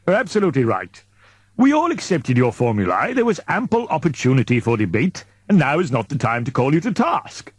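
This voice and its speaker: A man voicing a fatherly, authoritative male expedition leader in an audiobook, booming and pompous, with wordy formal speech. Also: wildly swooping pitch, fairly quick, husky, no echo, full character voice. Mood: pompous, magisterial. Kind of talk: audiobook character dialogue.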